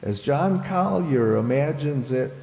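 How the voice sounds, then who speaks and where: A man announcing an old-time radio thriller in a theatrical, ominous style with deliberate pauses. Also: a little high, expressive, gravelly, warm tone. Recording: echoey hall, live stage with music. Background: dramatic music.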